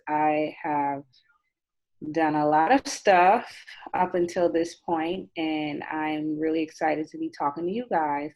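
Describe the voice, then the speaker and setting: A woman of twenty-eight, chatting casually and candidly as an interview guest and repeating phrases for emphasis, over a video call. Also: low-pitched. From Nassau, Bahamas. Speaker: interview guest, chemistry graduate student. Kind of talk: casual chat. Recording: dull, lo-fi video call audio.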